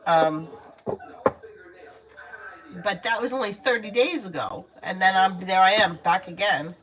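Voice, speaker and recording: Chatty low-voiced woman, noisy background. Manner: chatty and candid, swears freely, addresses viewers by name. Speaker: tarot reader answering viewer questions. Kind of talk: casual livestream chat and tarot readings.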